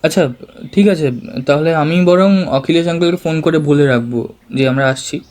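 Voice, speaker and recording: A man's voice: on the high side, moderate pace, darkish tone, lightly acted. A man acting an agreeable young investigator's friend in an audio drama, easygoing and reassuring.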